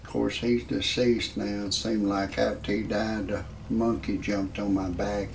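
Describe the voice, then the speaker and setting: A man in his sixties hosting a parody show, rambling through a comedic monologue in a halting, repetitive, grumbling way with a gravelly voice. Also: on the low side, little pitch movement, slight background noise. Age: 60s. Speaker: host of a parody report show.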